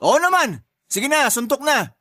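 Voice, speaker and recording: An actor playing a hot-headed, mocking older brother in a Tagalog radio drama, scornful and taunting. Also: male voice, extremely high pitch, very animated, normal speed, pushed and bright, no room sound, clean studio sound, full character voice. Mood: goading.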